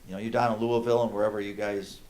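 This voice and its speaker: Blunt low-voiced man at a meeting. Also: flat delivery, racing, a little mellow. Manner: blunt, joking. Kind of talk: public meeting exchange.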